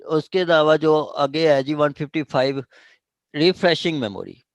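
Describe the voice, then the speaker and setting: A man's voice: fairly high pitch, normal speed, no echo. A man with a Pakistani accent giving an informal law lesson, reading phrases out and then explaining them in plain words. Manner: explanatory, reads out then paraphrases. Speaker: law lecturer.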